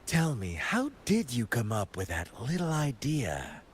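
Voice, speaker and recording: A man's voice: medium pitch, wildly swooping pitch, a little slow, a little hiss or hum. A man playing a sly, nihilistic villain in a video game cutscene, theatrical and mocking, savouring his words over faint ambience. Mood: amused, teasing. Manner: drawling, savouring, teasing.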